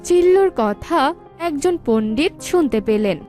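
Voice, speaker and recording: Woman storyteller for moral cartoons: high-pitched scripted narration with a music bed. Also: animated, unhurried, warm tone. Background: background music.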